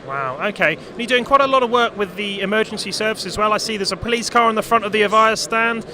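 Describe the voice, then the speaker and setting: A man with a high voice puts brisk, upbeat questions to a guest. He is reporting live from a busy exhibition floor, with a loud crowd around him. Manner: brisk and upbeat, short prompts. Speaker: interviewer. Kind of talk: live trade show reporting. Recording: crowded trade show floor.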